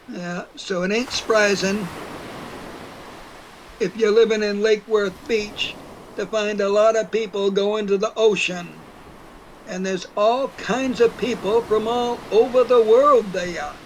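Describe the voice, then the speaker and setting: A man's voice: high voice, slight background noise, low-quality recording. A man playing a folksy, stubborn man in his eighties from New Hampshire, rambling through his tale in a rural New England dialect for an audio play. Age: 80s. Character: folksy, stubborn eighty-four-year-old New Hampshire whiskey drinker. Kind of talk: first-person audio play storytelling.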